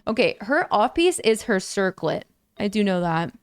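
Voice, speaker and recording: A woman's voice: normal speed, clear, resonant tone, no room sound. Chatty young woman streamer, dry close mic. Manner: chatty, bursts into high excited squeals thanking donors. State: voice a bit lower and worn from long talking. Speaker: gaming livestreamer. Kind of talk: casual livestream chat to viewers.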